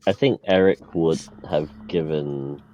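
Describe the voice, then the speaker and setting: A man's voice: rumbling low, takes it slowly, darkish tone, dull audio, slight background noise. A man talking casually as a tabletop game player, hesitant with many fillers and restarts.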